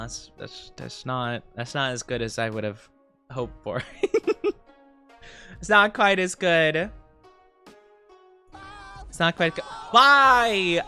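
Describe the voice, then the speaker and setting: A woman's voice: medium pitch, bright, forceful tone. A woman streamer casually chatting with her viewers from her home streaming room, playful and teasing as she answers chat.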